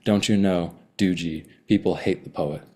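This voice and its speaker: A man reading his own poems slowly and deliberately, with hesitant, rambling remarks between them. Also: low voice, fairly even intonation, mellow. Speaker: poet. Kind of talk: poetry reading with chatty asides.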